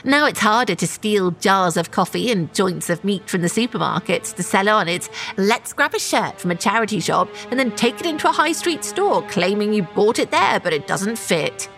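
A woman's voice: mid-range voice, expressive, normal speed, few pauses, a bit bright, soft background music. An actress playing an anxious, self-justifying woman shop manager in a naturalistic audio drama. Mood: resentful, justifying.

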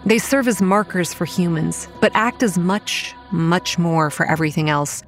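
An actress playing a wary, anxious young campground manager who narrates a horror audio drama in the first person. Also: female voice, on the low side, moderate pace, faint music behind, natural voice. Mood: wary narration.

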